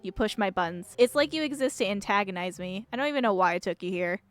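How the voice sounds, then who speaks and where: A woman's voice: medium pitch, expressive, fast talker, faint music behind, close to an everyday voice. A woman voicing a guarded, sardonic young woman from a small town in a video game, blunt and dismissive. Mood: blunt, irritated.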